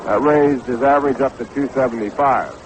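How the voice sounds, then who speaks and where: A man's voice: mid-range voice, fairly quick. An American man doing 1960s radio baseball play-by-play as the announcer. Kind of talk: 1960s radio sports commentary. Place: stadium broadcast booth. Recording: noisy 1960s AM radio broadcast.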